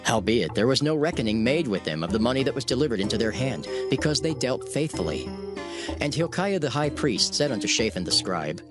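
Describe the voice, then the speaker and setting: A man narrating scripture from a script in a solemn, measured manner. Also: medium pitch, very animated. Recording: music bed under the voice.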